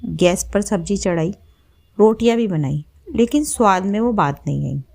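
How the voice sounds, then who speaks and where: A woman's voice: medium pitch, lively intonation, normal speed, gravelly, a little mellow. Female storyteller for scripted narration, mid-range voice.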